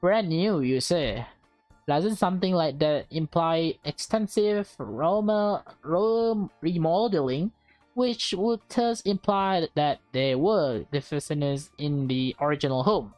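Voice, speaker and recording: A woman's voice: low-pitched, lively intonation, slow pace, darkish tone, no echo, soft background music. A woman voicing a fussy, impatient male house buyer in a game, curt and interrogating. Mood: suspicious. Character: brusque, fussy, suspicious male house-buying client.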